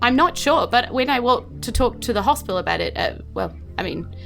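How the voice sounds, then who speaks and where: A woman plays an anxious farmer caught in a bad bargain, speaking with a New Zealand accent. The delivery is halting and full of stammers, as in an improvised tabletop game. Faint music runs underneath. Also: a little high, lively intonation, a bit bright. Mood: uneasy, confiding. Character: anxious, troubled farmer trapped by a secret agreement. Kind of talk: improvised tabletop role-play.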